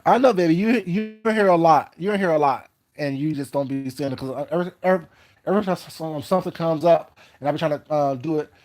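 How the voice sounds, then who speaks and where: A man with a fairly high voice chats loosely on his livestream and greets viewers by name as he goes. He repeats words while he thinks aloud. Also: a little fast, a little mellow. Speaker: YouTube livestream host. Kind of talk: casual livestream chat reading comments.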